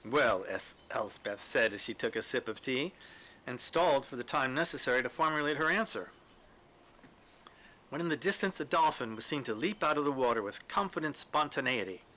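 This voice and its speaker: A man reading literary fiction aloud as the narrator, with a deliberate storytelling delivery. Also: mid-range voice, lively intonation, bright, forceful tone, poor audio. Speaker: story reader.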